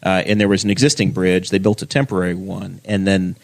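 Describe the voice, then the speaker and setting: A man's voice: sits low, fairly even intonation, fairly quick, gravelly, darkish tone. A man from Indiana who hosts a casual podcast, joking and teasing as he rambles through ad reads and stories. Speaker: podcast host.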